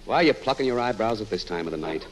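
A man's voice: fairly low pitch, lively intonation, rapid-fire, lightly acted. An actor playing a sleepy, henpecked, sarcastic husband in a 1940s radio comedy, grumbling his complaints. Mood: crabby, exasperated. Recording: noisy old radio recording, loud studio audience.

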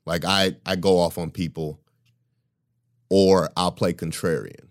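A man with a very low, deep voice, holding forth in a loose podcast conversation. He talks with emphasis and often breaks into laughter. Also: steady tone, a little slow. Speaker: podcast co-host. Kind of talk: casual chat.

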